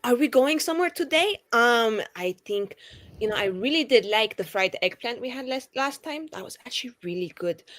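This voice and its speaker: A woman's voice: a little high, animated, normal speed, fairly bright tone, dry recording. A woman playing an exuberant, snarky, affectionate young woman in a tabletop roleplaying game, gushing theatrically. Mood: chatty, bubbly. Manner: gushing, theatrical, rambling with filler "like".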